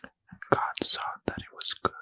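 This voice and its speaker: Slow whispering man, dry and close. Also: dry recording. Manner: soft unvoiced whisper, slow and measured. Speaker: ASMR reader. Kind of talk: whispered ASMR bedtime scripture reading.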